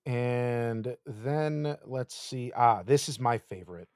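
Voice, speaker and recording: A man's voice: mid-range voice, expressive, slow pace, darkish tone, smooth, no room sound, studio quality. A man co-hosting a casual podcast, running a quiz and giving hesitant clues full of ums and uhs. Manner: playful, gives hesitant clues full of fillers. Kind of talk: casual chat.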